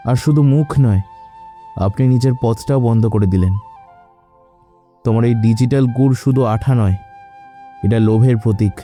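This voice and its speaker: A man's voice: mid-range voice, moderate pace, long pauses, mellow, soft background music, lightly acted. A man playing a reproachful, preachy young sidekick of a village cheat in a cartoon.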